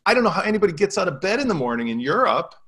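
A man around his forties giving emphatic, animated opinions in a panel discussion on a video call from home. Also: high voice, very fast, slightly rough. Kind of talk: opinionated round-table discussion. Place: living room at home. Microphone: video call, away from the camera.

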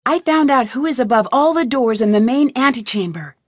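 An actress voicing a detective heroine in a game, stating clues in a matter-of-fact way. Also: female voice, sits high, expressive, brisk, no room sound, clean studio sound. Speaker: player character, detective. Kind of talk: video game voice lines.

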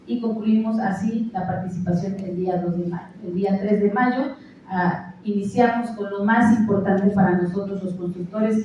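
A woman in her thirties with a Mexican accent announces event plans at a press conference, speaking formally and steadily with frequent "eh" pauses into a handheld mic at a panel table. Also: medium pitch, slight background noise. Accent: Mexican Spanish. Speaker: chamber president announcing an event. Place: large indoor conference hall. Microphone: handheld mic at a panel table, filmed from a far camera. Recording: echoey hall, distant and dull.

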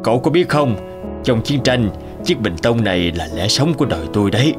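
A man narrating the words of a reflective older businessman, a war veteran recalling hardship in a measured, reminiscing way. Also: medium pitch, big pitch swings, over music. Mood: earnest.

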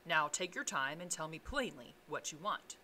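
A woman voicing a proper male lawyer in a storybook reading, formal, brisk and easily irritated. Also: deep voice, expressive, sharp tone, slight background noise. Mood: firm, coaxing. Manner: brisk, formal, questioning, peevish.

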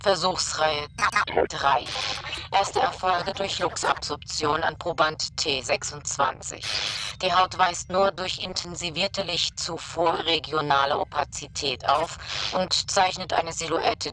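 A woman's voice: low voice, steady tone, unhurried, bright, forceful tone. A woman playing a clinical research leader dictating a clipped experiment log in a sci-fi audio drama. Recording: noisy archive audio log.

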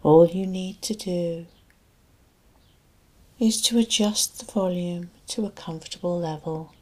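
A woman hypnotherapist narrating a guided relaxation and sleep hypnosis in a soft, breathy, soothing and deliberate voice, leaving long pauses between phrases. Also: lowish voice.

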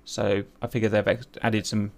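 A man hosting an interview, asking curious questions and backing the guest with quiet acknowledgements. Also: fairly low pitch, flat delivery, moderate pace, vocal fry. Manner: curious, many quiet "mm-hmm" backchannels. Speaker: interview host.

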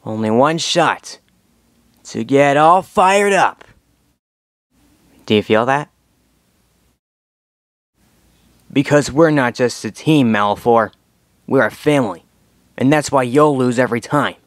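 A man's voice: medium pitch, animated, unhurried, long pauses, no echo, clean studio sound, natural voice. A man voicing a heroic young dragon leader in a cartoon dub audition, determined, confident and earnest as he rallies his team. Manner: earnest, commanding, rallying. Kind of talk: scripted cartoon fandub audition lines.

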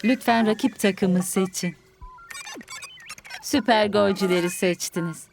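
A woman's voice: medium pitch, measured pace, vocal fry, slight background noise. A woman voicing a polite, formal computer in a cartoon, making impersonal automated announcements. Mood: neutral. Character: polite female computer voice of a training machine.

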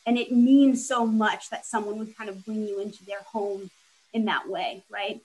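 A woman's voice: mid-range voice, steady tone, normal speed, a little mellow, slightly rough, rough recording. A young woman designer on a video call from home, speaking in an easygoing, upbeat way. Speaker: furniture designer. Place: home room with plants.

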